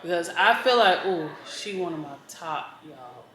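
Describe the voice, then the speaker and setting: A woman hosting a casual vlog chat, with a mellow voice and an animated, opinionated way of talking. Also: lowish voice, measured pace. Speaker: vlog host. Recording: echoey room.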